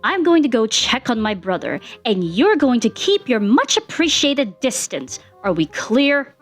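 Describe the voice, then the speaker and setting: A woman acts out a stern male skeleton, curt and fed up. The delivery is cold and clipped yet varied in pitch, over background music in a fan fiction drama. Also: sing-song, a little slow. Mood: cold, annoyed. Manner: cold, clipped, profane commands. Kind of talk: fan fiction character reading.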